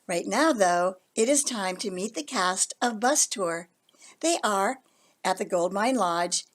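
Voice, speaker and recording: A woman's voice: medium pitch, animated, slow pace. A woman presenter giving a prepared announcement to introduce a play.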